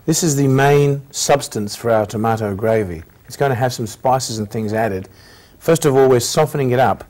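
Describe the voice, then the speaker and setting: A man's voice: medium pitch, darkish tone. A man hosting a cooking show, talking the viewer step by step through a recipe. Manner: friendly step-by-step instructions. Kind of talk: cooking demonstration.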